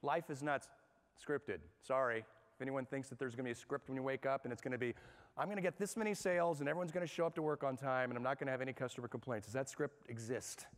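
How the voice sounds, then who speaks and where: A man with a middle-pitched voice leads a management workshop in front of a live audience. His talk is energetic and conversational, and he keeps putting questions to the room. His voice rings out with the long reverb of a large hall. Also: fast.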